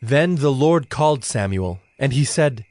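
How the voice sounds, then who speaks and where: A man narrating scripture from a script in a measured, solemn manner. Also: medium pitch, expressive, a little mellow, no echo. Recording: faint music behind the voice.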